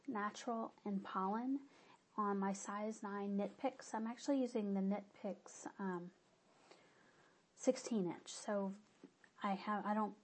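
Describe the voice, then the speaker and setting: A woman's voice: medium pitch, darkish tone. A woman with a stuffy nose giving a casual, rambling update on her knitting projects, often stopping to correct herself. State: stuffy-nosed. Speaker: knitting podcaster.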